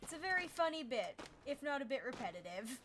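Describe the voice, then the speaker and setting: A woman streaming a game, chatting casually with an energetic, naturally loud manner. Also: high-pitched, a little fast, faint music behind. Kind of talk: casual gaming stream chat. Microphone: desk mic, peaking.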